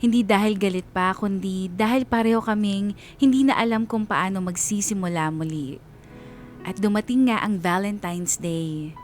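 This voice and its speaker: A woman's voice: moderate pace, faint music behind. A woman reading a dramatic, scripted first-person story as narrator. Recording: clear rumbling background noise.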